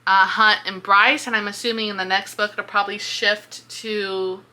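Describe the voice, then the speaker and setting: A woman chatting casually about a book, expressive, with plenty of slang and swearing. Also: mid-range voice, moderate pace. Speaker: book reviewer and vlogger.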